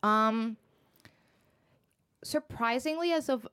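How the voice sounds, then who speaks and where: A woman's voice: fairly high pitch, slow pace, sharp tone, smooth, no room sound, clean studio sound. A young woman from Puerto Rico with a Puerto Rican accent, a podcast guest chatting casually with lots of fillers and laughs. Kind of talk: casual chat.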